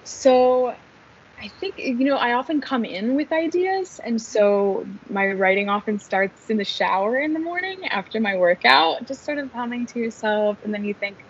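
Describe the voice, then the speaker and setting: A young woman from Philadelphia with a softened American accent, chatting upbeat as an interview guest. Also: fairly high pitch, lively intonation, normal speed, a little hiss or hum. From Philadelphia, USA. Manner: chatty and upbeat, gestures while talking. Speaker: singer-songwriter guest. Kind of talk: casual interview chat. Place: indoor room at home. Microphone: wireless earbuds on a video call. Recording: roomy, distant, dull video-call audio.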